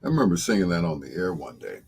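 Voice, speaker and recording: A man hosting a late-night music radio show, chatting casually and informally to his live listeners in a husky voice. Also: low voice, very animated, quick pace, darkish tone, slight background noise. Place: broadcasting on radio and live video stream.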